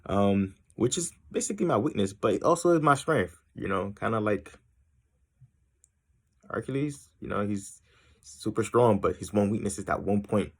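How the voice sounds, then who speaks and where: Young man, from Haiti, very low voice, gravelly fry, rambling casual self-intro, lots of ums. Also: animated, moderate pace. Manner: rambling and tangential, many ums and uhs. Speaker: student introducing himself to a class.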